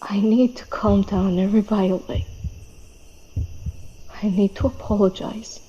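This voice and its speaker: A woman's voice: mid-range voice, steady tone, slow pace, dark tone, muffled recording, a little hiss or hum, lightly acted. A woman playing an anxious, driven young female leader in a webtoon fan dub, speaking with earnest, emphatic intensity. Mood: tense, subdued.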